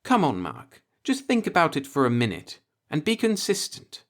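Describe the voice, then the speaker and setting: Man putting on a coy, high-pitched playful young woman. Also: huge pitch swings, normal speed. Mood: scornful.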